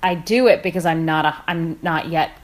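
A woman's voice: low voice, steady tone, normal speed. A woman author, a guest in an interview, speaking with dry, self-deprecating humor and hesitant restarts. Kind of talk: interview conversation.

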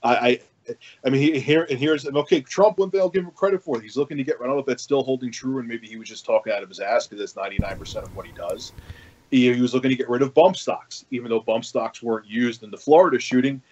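Man, podcast panelist, mid-pitched, halting, repeats himself, sweary, casual debate, muffled lo-fi recording. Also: a little mellow. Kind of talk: heated casual political discussion.

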